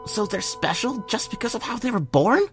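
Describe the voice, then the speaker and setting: A man's voice: high voice, animated, fairly quick, fairly bright tone, soft background music, pitched above the performer's own voice. A man voicing a boyish young pegasus colt who questions and protests childishly, in a fan-made audio drama. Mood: protesting.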